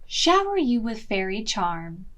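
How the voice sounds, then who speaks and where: Measured, higher-pitched woman reading to preschoolers. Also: big pitch swings, a bit bright, slight background noise. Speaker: children's library storytime host. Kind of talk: read-aloud picture-book storytelling for preschoolers.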